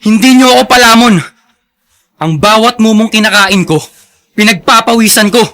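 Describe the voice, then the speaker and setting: A proud, earnest young son in a radio drama. His voice is high and clear-edged, bright and respectful. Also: moderate pace. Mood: defiant, firm. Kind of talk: Filipino radio drama acting.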